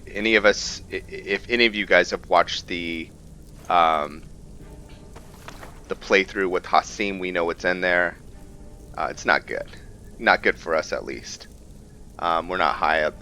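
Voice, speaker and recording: A man's voice: low-pitched, steady tone, moderate pace, bright, forceful tone, slight background noise. A man hosting a gaming video, giving casual let's-play commentary as he plays.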